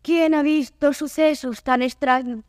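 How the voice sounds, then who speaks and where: An actress playing a proud, troubled noblewoman traveller, declaiming classical verse in a grand, measured way. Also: high voice, steady tone, darkish tone, dry recording, clean studio sound. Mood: awed dread.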